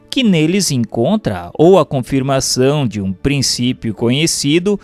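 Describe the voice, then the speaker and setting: A man's voice: fairly high pitch, darkish tone, no room sound. Brazilian man narrating formally over music. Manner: formal, measured, didactic. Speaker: narrator reading a spiritist book aloud. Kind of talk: scripted audiobook narration.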